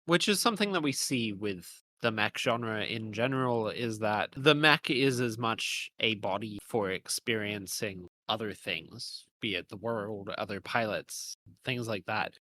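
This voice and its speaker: A man's voice: on the low side, unhurried, hardly any pauses, pushed and bright. A man co-hosting a podcast, discussing a game in a conversational way. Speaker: podcast co-host.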